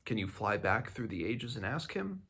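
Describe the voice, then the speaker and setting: Guy reading bookish old-world fantasy doctor mentor, low gravelly voice, measured and emphatic, storybook teaching. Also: sing-song, pushed and bright, full character voice. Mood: earnest, teaching. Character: learned doctor mentor explaining books as magical traps. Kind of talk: fantasy novel read aloud.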